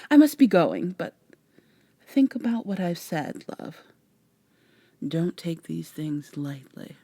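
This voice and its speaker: A woman voicing a haughty, teasing vampire in a formal, measured, condescending way for an ASMR roleplay. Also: fairly low pitch, very animated, dark tone, dry recording, heavily acted. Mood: cool, teasing. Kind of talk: soft-spoken ASMR roleplay acting.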